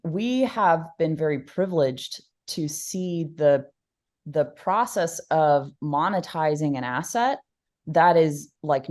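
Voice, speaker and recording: A woman with a notably low voice talks through her ideas in a relaxed interview. She hesitates, restarts phrases and fills pauses with "um," breaking into light laughter along the way. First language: Polish. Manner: thoughtful, many fillers and restarts, laughs often. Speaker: podcast guest, researcher. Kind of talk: conversational interview.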